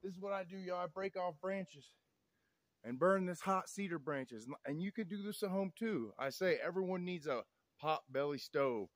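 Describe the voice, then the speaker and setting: A man's voice: high voice, expressive, moderate pace, no echo. Folksy man, y'all-heavy outdoor talk. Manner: folksy, preachy, keeps saying y'all. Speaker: off-grid vlogger. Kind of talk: outdoor vlog talk to camera. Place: snowy woods outdoors. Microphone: camera on tripod, a few meters away.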